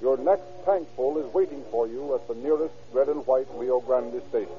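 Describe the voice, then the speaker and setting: A man's voice: mid-range voice, normal speed, faint music behind. A man announcing and narrating a 1930s radio program in a formal, declamatory, scripted manner. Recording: tinny, band-limited 1930s radio recording.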